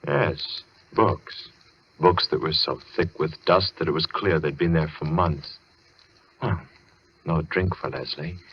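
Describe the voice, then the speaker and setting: A man playing an ominous storyteller, narrating a radio suspense tale in a deliberate, wry manner. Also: extremely low pitch, husky, exaggerated voice. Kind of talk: dramatic old-time radio narration. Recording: muffled, noisy vintage radio recording.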